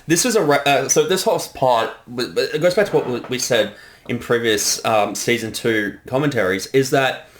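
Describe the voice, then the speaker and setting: A man giving casual director's commentary in a rambling, halting way with some swearing. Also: mid-range voice, slight background noise.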